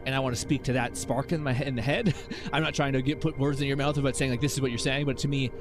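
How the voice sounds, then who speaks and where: A man's voice: mid-range voice, steady tone, fast talker, music in the background. A young adult man streaming live, chatting with his viewers in a rambling, laugh-filled way with many restarts. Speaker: streamer reading chat. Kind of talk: casual live-stream chat.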